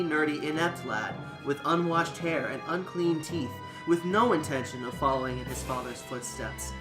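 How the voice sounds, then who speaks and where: A man reading a story aloud as host, with dramatic emphasis, swelling and then trailing off. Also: fairly high pitch. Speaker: host and reader. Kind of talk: expressive read-aloud storytelling.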